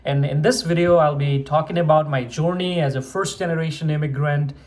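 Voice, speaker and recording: A man's voice: a little high, fairly even intonation, fairly quick, a little mellow. A man in his twenties from Nepal, a medical student telling his own story on his channel in an earnest way, with many fillers and restarts. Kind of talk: personal story told to camera.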